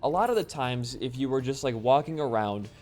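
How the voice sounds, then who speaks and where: Laid-back, slightly hoarse man gaming. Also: mid-range voice, animated, fairly quick, a little mellow. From Wisconsin, USA. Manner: laid-back, rambling, lots of fillers. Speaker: gaming channel host. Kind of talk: casual gameplay commentary with storytelling. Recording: faint game music behind.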